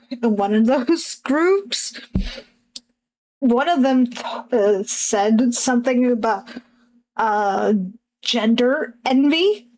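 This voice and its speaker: Slow, hesitant husky-voiced woman. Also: on the high side, lively intonation, a little mellow, low-quality recording. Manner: hesitant, halting, many fillers and restarts. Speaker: streamer. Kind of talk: casual chat while drawing on stream.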